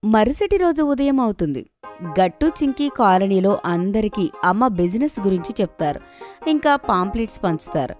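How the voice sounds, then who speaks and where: A woman's voice: medium pitch, sing-song, moderate pace, darkish tone, soft background music. A woman narrator reading a scripted children's story.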